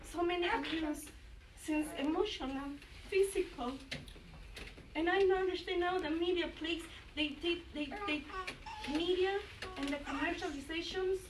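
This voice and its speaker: A woman, English her third language, giving halting, emotional testimony at a community forum. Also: very high-pitched. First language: Nahuatl. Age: 40s. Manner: halting, searching for words. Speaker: forum speaker. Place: community center meeting room. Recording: echoey room, far mic, noisy.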